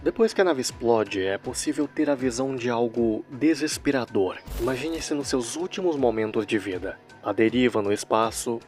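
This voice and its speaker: A man's voice: mid-range voice, normal speed, few pauses, a little mellow. Brazilian man narrating over music. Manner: wry, joking asides. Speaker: narrator of a horror series recap video. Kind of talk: casual storytelling commentary.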